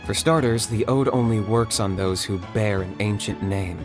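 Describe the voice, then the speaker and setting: A man's voice: lowish voice, normal speed, music in the background, close to an everyday voice. An actor playing a reserved, matter-of-fact young male warrior in a video game, with a dry, understated manner. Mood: serious. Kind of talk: English game voice acting.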